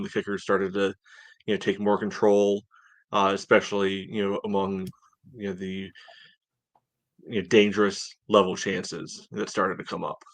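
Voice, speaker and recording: Male soccer podcast analyst, fairly low pitch, conversational and filler-heavy, very dry close sound. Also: fairly bright tone, dry recording. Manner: hedging, conversational, peppered with "you know". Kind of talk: casual match analysis.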